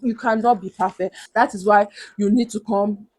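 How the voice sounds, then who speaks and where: A young woman with a Nigerian accent and a big, loud voice, earnestly giving motivational advice to camera and repeating her points for emphasis. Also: medium pitch, steady tone, normal speed. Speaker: vlogger.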